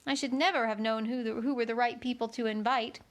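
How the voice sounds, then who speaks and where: A woman's voice: on the high side, fairly even intonation, fairly quick, close to an everyday voice. A woman voicing a polite, slightly anxious young girl, reading a storybook aloud.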